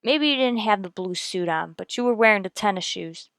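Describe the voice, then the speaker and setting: A woman's voice: medium pitch, animated, brisk, a bit bright, dry recording. A woman playing a no-nonsense, methodical police detective in a radio drama, clipped and matter-of-fact. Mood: pressing. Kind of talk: old-time radio police drama, scripted narration and dialogue.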